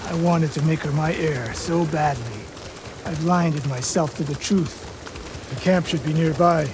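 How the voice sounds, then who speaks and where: A man's voice: fairly high pitch, measured pace, background noise, natural voice. Man playing a stern old samurai master, rainy background. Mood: grave, reflective. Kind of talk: dramatic video game acting.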